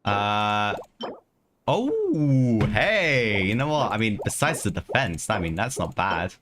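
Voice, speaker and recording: A man's voice: lowish voice, big pitch swings, takes it slowly, background noise. A man livestreaming a video game, chatting casually with his viewers, often repeating his phrases and calling them lads. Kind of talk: casual live gameplay chat with viewers. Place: voice over live video game play, game music behind.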